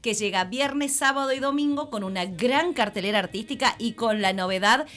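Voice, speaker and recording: A woman's voice: fairly high pitch, moderate pace, fairly bright tone. Enthusiastic Argentine woman promoting an event. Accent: Argentine Spanish. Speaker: municipal press director. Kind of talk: radio interview guest.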